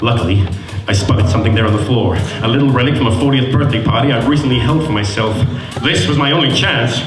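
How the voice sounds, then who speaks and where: An actor playing a world-weary private eye, narrating film noir in a deadpan, clipped, theatrical way on a theatre stage. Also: male voice, mid-range voice, fast, close to an everyday voice. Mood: world-weary, triumphant. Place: large theatre stage before an audience. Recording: echoey live theatre stage, music behind.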